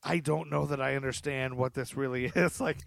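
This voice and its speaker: A man's voice: medium pitch, fairly even intonation, normal speed, vocal fry, no room sound. A middle-aged man hosting a podcast in casual chat, with a rambling, self-deprecating way of talking. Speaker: podcast host.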